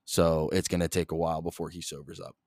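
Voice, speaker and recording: A young man hosting a solo podcast, rambling in a flat monotone, swearing constantly and jumping between topics, close to the mic. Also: deep voice, quick pace, gravelly, dry recording. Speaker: podcast host.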